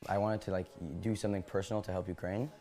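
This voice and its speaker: A man's voice: sits low, level tone, quick pace, dark tone, faint music behind. A sixteen-year-old young man giving casual interview answers. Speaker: part-time barber, interviewee.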